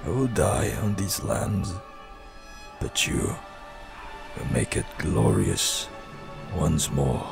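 A man voicing a dying old father, solemn and proud, breathy, weak and halting, in fantasy game style. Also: rumbling low, sing-song, over music, full character voice. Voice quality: breathy, gravelly. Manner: halting, weighty. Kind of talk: dramatic fantasy game acting.

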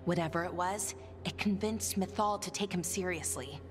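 A woman's voice: fairly low pitch, normal speed, natural voice. An actress playing a matter-of-fact young woman telling elven lore in a fantasy game. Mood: matter-of-fact, thoughtful. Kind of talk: conversational video game cutscene dialogue. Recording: faint music under dialogue.

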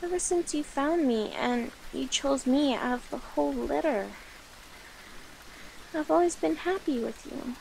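A shy, clingy catgirl familiar, acted by a woman for an ASMR roleplay. The voice is very high and slow, with flustered, halting, pleading delivery. There is some faint noise behind it. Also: creaky, a little mellow, poor audio. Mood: shy, affectionate.

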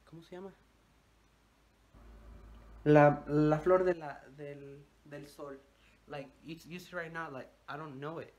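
A man's voice: fairly high pitch, darkish tone, a little hiss or hum. Young Mexican man rambling into his phone. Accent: Mexican Spanish. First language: Spanish. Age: young adult. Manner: rambling, swears casually, mixes in Spanish phrases. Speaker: vlogger. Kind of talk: casual rambling vlog. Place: home bathroom and bedroom. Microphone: phone held at arm's length.

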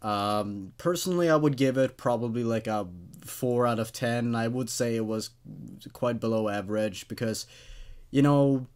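A man's voice: medium pitch, moderate pace, a little mellow, clean tone. A man in his twenties hosting, reading stories aloud and commenting on them in a casual, conversational way. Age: 20s. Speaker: host reading and reviewing horror stories.

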